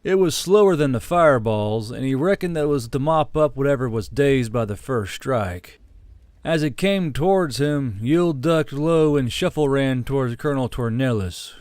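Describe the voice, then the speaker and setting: A man's voice: mid-range voice, lively intonation, moderate pace, darkish tone, dry recording. A man reading a scripted fantasy war story as an audiobook narrator, close on the microphone.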